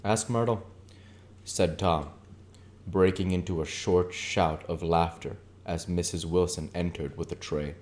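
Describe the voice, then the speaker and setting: A man reading literary narration for an audiobook, with measured, deliberate phrasing. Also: low voice, level tone, a little mellow. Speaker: audiobook reader.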